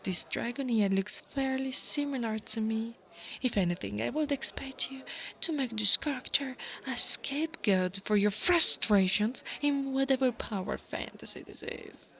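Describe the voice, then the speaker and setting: Slow-talking haughty young dragon girl, acted by a woman. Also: fairly high pitch, creaky, fairly bright tone, poor audio. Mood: scornful, prying. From Italy. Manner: sneering, taunting. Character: haughty, insecure young female dragon bully. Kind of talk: ASMR roleplay acting.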